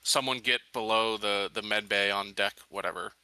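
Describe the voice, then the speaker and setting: A man with a very bright, sharp-edged voice talks as a player in a tabletop game. He explains his reasoning informally, in casual table chat. The sound is close and dry. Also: lowish voice, steady tone, moderate pace, clean tone, no echo.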